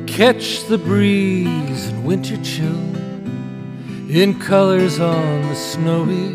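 A woman's voice: lowish voice, very slow. A woman singing a folk ballad, accompanied by plucked guitar. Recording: music recording with plucked guitar. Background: acoustic guitar accompaniment.

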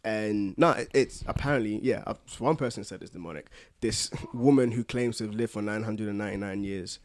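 A man's voice: fairly low pitch, fairly quick. A man co-hosting a podcast in casual, teasing, jokey discussion. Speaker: podcast co-host.

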